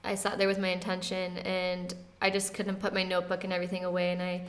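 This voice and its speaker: A woman in her twenties speaks in a relaxed, conversational way. Her pitch stays quite level, with little rise or fall. She talks softly, with light laughs and plenty of casual fillers. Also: fast. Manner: soft-spoken, relaxed, often laughing lightly, many "like" fillers. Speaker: interview guest.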